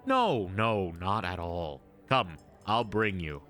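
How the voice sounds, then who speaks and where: A deferential knight, acted by a man, talks with a lowish voice and hugely swooping intonation. He is formal and eager to serve, in a fantasy audio drama with faint music underneath. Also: big pitch swings, slow, fairly bright tone, exaggerated voice. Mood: deferential, helpful. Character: deferential, obliging adult male knight of a castle. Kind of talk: fan audio drama acting.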